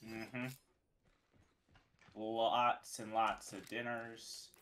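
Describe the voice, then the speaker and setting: Hoarse, quiet man with a bright voice. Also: on the low side, little pitch movement, extremely slow, slight background noise. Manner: quiet, short replies. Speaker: teammate. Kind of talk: casual gaming chat.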